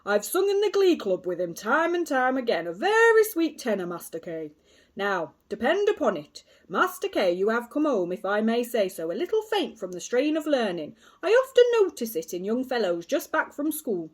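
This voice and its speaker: A woman's voice: a little high, very animated, normal speed, voice pushed up. A woman voicing a blustering, self-assured male police inspector who talks down in a pompous, patronizing way. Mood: reassuring.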